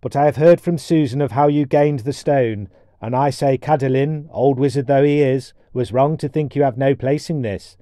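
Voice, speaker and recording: A man's voice: medium pitch, normal speed, dark tone, no room sound, studio quality, natural voice. A man voicing a proud, talkative dwarf companion who speaks in formal, old-fashioned phrases, in a storybook tale. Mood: hearty, approving. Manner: wordy, formal archaic speech.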